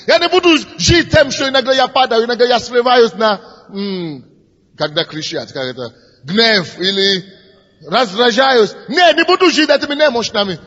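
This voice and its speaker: A man's voice: very high voice, a bit bright. A man preaching. He grows fervent, repeats his phrases and leads the people in prayer. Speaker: pastor. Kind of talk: sermon leading prayer. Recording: dull lo-fi recording in a reverberant hall with music.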